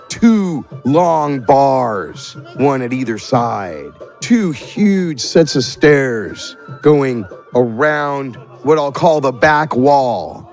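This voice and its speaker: A man working as game master, narrating a tabletop fantasy game in improvised, conversational descriptions. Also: mid-range voice. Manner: conversational, feeling his way through descriptions. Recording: music under the voice.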